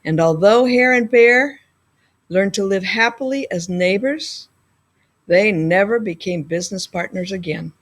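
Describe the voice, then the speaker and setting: Woman, mid-pitched voice, expressive storybook narration, school librarian read-aloud. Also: a little slow.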